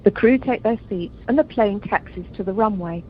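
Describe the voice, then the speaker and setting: A woman's voice: mid-range voice, moderate pace, close to an everyday voice. A woman acting as narrator, reading scripted action for an amateur comedy radio play. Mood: descriptive. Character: female narrator describing the action. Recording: muffled conference call, faint noise.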